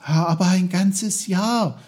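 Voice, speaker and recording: A man's voice: on the high side, lively intonation, takes it slowly, mellow, husky, voice pushed up, exaggerated voice. A man voicing a curious, wide-eyed, tender-hearted little boy in a children's story, eagerly questioning and exclaiming. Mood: upset. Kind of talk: German children's storybook character voice.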